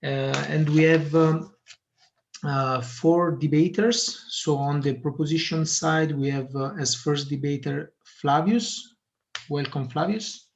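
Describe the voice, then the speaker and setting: A man moderating an online debate over a video call, pausing often with fillers. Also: on the high side, a little hiss or hum. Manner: hesitant, many fillers. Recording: muffled video call audio.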